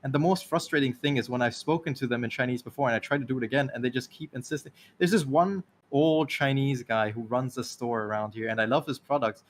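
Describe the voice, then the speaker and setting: A man's voice: mid-range voice, quick pace, hardly any pauses, slight background noise. Laid-back man, Taiwanese accent. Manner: laughs often, rambling anecdotes. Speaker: stream co-host. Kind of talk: casual stream chat. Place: lives in Ireland.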